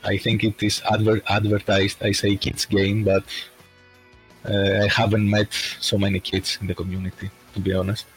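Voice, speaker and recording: A man from Greece chatting as a podcast guest, speaking slowly and hesitantly with lots of fillers. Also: on the low side, monotone, faint music behind, poor audio. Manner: slow and hesitant, many fillers. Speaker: podcast guest, bot creator. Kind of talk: casual chat.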